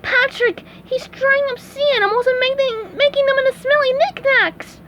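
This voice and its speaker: A woman voicing an excitable, anxious young male cartoon sea sponge with a dramatic, exclamatory way of talking. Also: very high voice, slow, a little hiss or hum, voice pushed up, exaggerated voice. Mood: panicked. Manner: exclamatory, dramatic, stammering when upset.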